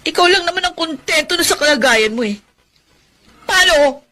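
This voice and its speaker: A woman's voice: sits high, lively intonation, normal speed, pushed and bright. A Filipino woman playing a torn, exasperated young sweetheart in a radio drama, reproachful and earnest. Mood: hurt, indignant. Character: exasperated, torn young sweetheart caught between two men.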